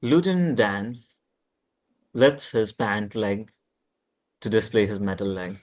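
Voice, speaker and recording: Casting: male stage-direction reader, fairly low voice, plain scripted narration, a little stumbling. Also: animated, takes it slowly, darkish tone. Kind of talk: scripted narration at a table read.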